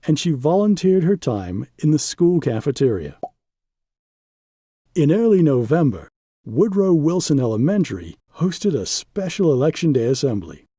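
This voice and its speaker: A man reading a children's picture book aloud as an expressive storyteller, with measured, dramatic phrasing and pauses for emphasis. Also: fairly high pitch, slightly rough, no echo, clean studio sound.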